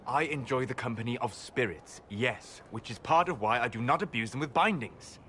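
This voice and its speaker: An actor playing a composed, stern, scholarly mage in a video game, speaking precisely and formally. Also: male voice, medium pitch, moderate pace, bright, forceful tone, a little hiss or hum, close to an everyday voice. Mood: firm, disapproving.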